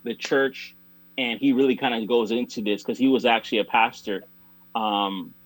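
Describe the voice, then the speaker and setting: A man talks as a guest in a relaxed interview, in a mid-range voice. He builds his points through everyday analogies. The sound is dry and close, with no room echo. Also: mid-range voice, normal speed. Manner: conversational, explains with analogies. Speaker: interview guest, music artist. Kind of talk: casual interview conversation.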